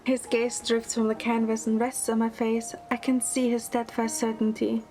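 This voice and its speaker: A woman's voice: on the high side, level tone, moderate pace, close to an everyday voice, rough recording. A woman playing a devoted young heroine in love, narrating an audio drama in the first person with earnest, dramatic delivery. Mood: tender, playful. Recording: loud music bed under the voice. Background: loud music.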